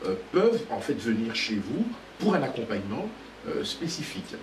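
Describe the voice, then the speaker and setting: A man hosting a talk show, courteous and quick with short acknowledgements. His pitch moves about lively as he speaks. The sound is roomy and picked up from some distance, with clear background noise. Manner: courteous, frequent short acknowledgements, hesitant fillers. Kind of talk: interviewing guests in a studio debate show.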